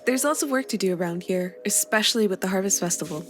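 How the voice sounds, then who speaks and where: A woman voicing a friendly, busy young guild master who welcomes a new hire in a warm, slightly bossy way. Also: mid-range voice, fast, close to an everyday voice. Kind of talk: scripted voice drama. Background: faint background music.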